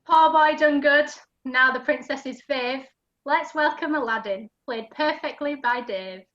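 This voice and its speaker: A woman's voice: sits high, a little slow, fairly bright tone. A woman giving scripted rhyming narration for an amateur pantomime over a video call. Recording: dull lo-fi video call audio.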